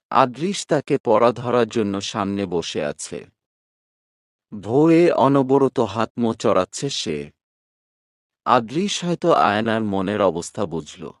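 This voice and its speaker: A man narrating a romantic story from a script in a measured, expressive reading style. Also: lowish voice, dry recording, studio quality.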